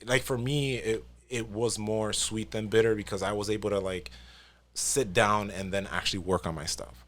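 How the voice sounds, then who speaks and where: Guy in his 30s on a podcast, kinda low voice, rambles, quotes himself a lot. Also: a bit bright. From New York. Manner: rambling, retells his own past remarks in quotes. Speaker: guest author. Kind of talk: casual podcast storytelling.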